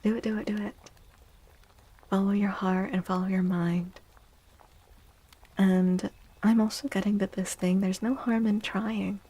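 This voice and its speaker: Slow, breathy, soft-spoken ASMR woman. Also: mid-range voice, little pitch movement, low-quality recording. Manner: gentle, hushed, unhurried, soothing repetition. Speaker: livestream host reading tarot cards for viewers. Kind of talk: soft-spoken ASMR tarot reading, often whispered.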